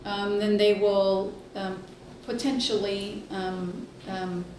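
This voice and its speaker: A woman giving an informal report at a board meeting, speaking haltingly with many pauses. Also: mid-range voice, flat delivery, a little mellow. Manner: hesitant, halting delivery with long pauses. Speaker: healthcare district executive reporting to board. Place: indoor public meeting room. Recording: echoey room, distant mic, faint noise.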